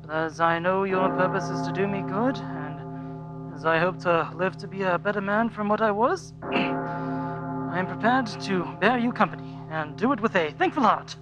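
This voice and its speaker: A man's voice: sits high, normal speed, lightly acted, rough recording. Man acting a repentant old miser, music behind. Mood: fearful, resolute. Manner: earnest, pleading, old-fashioned formal delivery. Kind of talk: radio play acting of a Victorian story. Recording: loud music under the voice.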